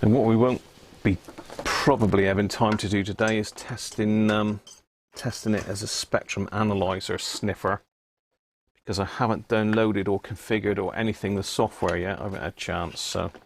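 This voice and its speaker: Low creaky voiced guy doing a casual electronics stream, kinda muttering to himself step by step, bit of background noise. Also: fairly even intonation, normal speed. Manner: muttering step by step, thinking aloud. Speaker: livestream host demonstrating radio repair. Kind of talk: casual hands-on electronics demonstration. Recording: clear background noise with clicks.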